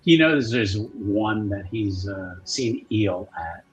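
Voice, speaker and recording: A fairly low-voiced man takes his time as he narrates his character in a tabletop game. His pitch moves around in a lively way, but the delivery is casual and hesitant, with faint music behind it. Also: takes it slowly, dull audio. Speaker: player in a tabletop roleplaying game show.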